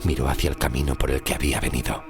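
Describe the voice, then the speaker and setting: A man from narrates a scripted horror story with suspense, in short weighted phrases that often sink into a breathy hush. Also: rumbling low, flat delivery, racing. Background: music.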